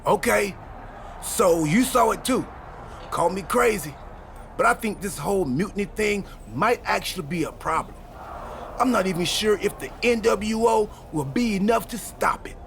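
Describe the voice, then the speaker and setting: A goofy game-cutscene wrestler with a high, creaky voice. He is scatterbrained and excitable, delivering daft ideas in full earnest. His pitch swings widely, over faint background noise. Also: normal speed, full character voice. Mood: worried, earnest. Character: excitable, goofy, scatterbrained male pro wrestler with a time machine. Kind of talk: scripted video game cutscene dialogue.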